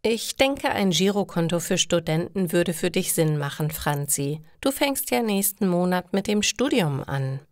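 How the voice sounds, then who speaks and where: A woman voicing a caring mother who gently advises her grown daughter in slow, reassuring storybook dialogue. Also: lowish voice, expressive, no echo, studio quality.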